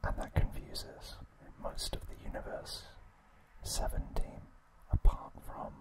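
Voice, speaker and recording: Guy doing asmr trivia recap, all breathy whispering, really slow, small careful phrases. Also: rough recording. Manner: slow, deliberate, broken into short phrases. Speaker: ASMR host.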